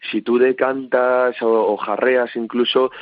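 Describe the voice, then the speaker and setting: A man's voice: medium pitch, little pitch movement, unhurried, dry recording, narrow, tinny audio. A man explains things informally as a guest expert in a radio interview, often checking for agreement with little tag questions. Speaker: winery representative, interview guest.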